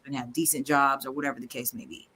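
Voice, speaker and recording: A man's voice: medium pitch, fairly even intonation, fast talker, pushed and bright, a little hiss or hum, rough recording. A man speaking on a casual live-stream panel, hedging his points as he goes.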